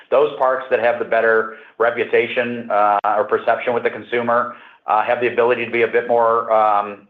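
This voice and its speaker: A man speaking as a company executive answering questions on an earnings call, halting and measured as he repeats words. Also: medium pitch. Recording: muffled narrow phone line, roomy echo.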